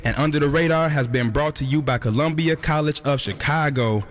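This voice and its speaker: A teenage boy hosting a youth radio show, casual and upbeat with street slang, talking into a studio boom microphone. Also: a little fast, a little hiss or hum. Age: teens. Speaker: radio host. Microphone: studio boom mic with headphones.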